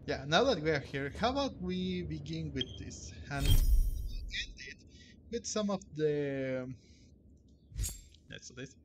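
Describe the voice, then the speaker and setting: A man giving casual gameplay commentary in a halting way, often repeating words and trailing off mid-sentence. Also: on the high side, wildly swooping pitch, background noise. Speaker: video game streamer. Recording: game music behind the voice. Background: video game music.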